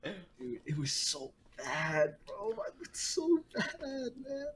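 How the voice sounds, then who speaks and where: A man's voice: sits high, huge pitch swings, takes it slowly, fairly bright tone, a little hiss or hum. A young man co-hosting a casual podcast over a video call, laid-back, laughing often and saying "bro" a lot. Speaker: podcast co-host. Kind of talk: casual chat.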